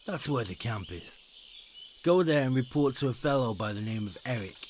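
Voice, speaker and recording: A man's voice: mid-range voice, animated, moderate pace, darkish tone, a little hiss or hum, close to an everyday voice. Man playing a sardonic medieval bandit recruiter. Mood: sardonic, instructive. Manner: sardonic, brusque, needling. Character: gruff, mocking male bandit recruiter in medieval Bohemia. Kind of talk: video game cutscene acting.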